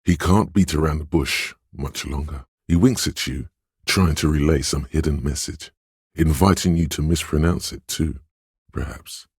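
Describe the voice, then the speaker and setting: A man voicing an insinuating inner voice in a video game, narrating in an intimate, coaxing, knowing and measured way, with a very deep, husky tone. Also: a little mellow, no room sound, clean studio sound, full character voice. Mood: musing. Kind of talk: scripted second-person game narration.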